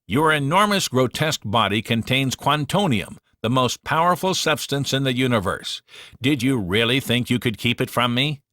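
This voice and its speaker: Man voicing a pompous, animated alien villain. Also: mid-range voice, moderate pace, no echo, studio quality, pitched above the performer's own voice, natural voice. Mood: menacing, boastful. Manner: pompous, theatrical. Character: grandiose menacing male alien villain.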